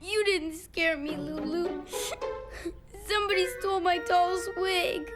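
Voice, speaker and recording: Plaintive little cartoon girl, very high voice, blunt, loud music behind. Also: steady tone, slow. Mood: upset. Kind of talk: animated children's cartoon acting.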